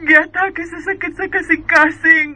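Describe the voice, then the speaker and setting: A high, bright young Visayan Filipino woman, played by an actress in a radio drama. She is emotional and vulnerable, speaking at a slow pace. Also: steady tone, no echo, heavily acted. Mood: tearful panic.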